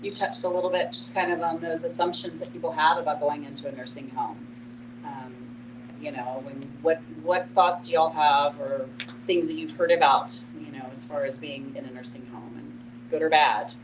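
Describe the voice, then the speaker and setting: A woman facilitating a group discussion, explaining things informally in halting, piece-by-piece phrases. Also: low-pitched, fairly even intonation. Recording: clear background noise and hum.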